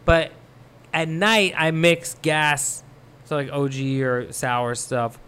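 A young man who grew up in Thailand, talking as a guest in a casual chat, rambling and relaxed with many fillers. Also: fairly high pitch, slow, smooth. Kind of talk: casual podcast conversation.

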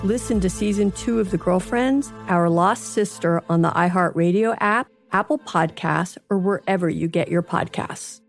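A woman's voice: fairly low pitch, normal speed, creaky, few pauses. A woman reading scripted promotional narration as a podcast host. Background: music.

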